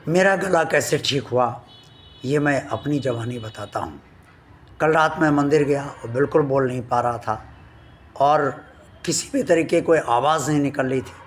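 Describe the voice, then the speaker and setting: A man hosting a devotional channel, speaking to his viewers slowly and earnestly, his voice still weak from a sore throat. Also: mid-range voice, slight background noise. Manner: slow, earnest and devout, addressing viewers formally. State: recovering from a sore throat, voice not at full strength.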